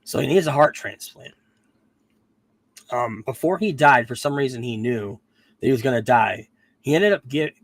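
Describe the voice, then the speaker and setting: A man's voice: medium pitch, dry recording. A man talking casually as a podcast host, rambling through a plot recap with lots of ums and self-corrections, getting over a cold and losing his voice. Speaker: podcast host reviewing a TV series.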